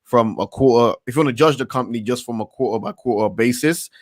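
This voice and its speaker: Halting casual male stream host. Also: mid-range voice, no room sound, clean studio sound. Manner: halting, repeats words, many fillers. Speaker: livestream host. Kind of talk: casual investor livestream chat.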